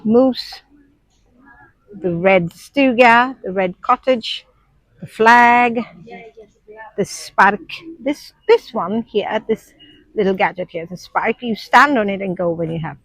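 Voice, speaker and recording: Breathy-voiced woman vlogging through an airport. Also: medium pitch, no room sound, poor audio. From Sri Lanka. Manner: chatty, explanatory, frequent um fillers. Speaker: travel vlogger and nursery teacher. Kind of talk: casual vlog narration to camera. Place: busy airport shops and seating area.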